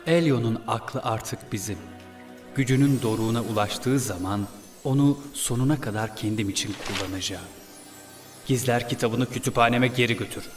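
A man playing a scheming evil cartoon prince with a measured, imperious manner. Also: medium pitch, lightly acted. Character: scheming evil prince plotting to seize power. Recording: music behind the voice.

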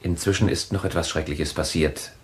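A man's voice: sits low, fairly even intonation, moderate pace, breathy edge. A man playing a nervous, cautious secret lover in a German radio thriller, reasoning urgently and hesitantly. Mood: tense, guarded.

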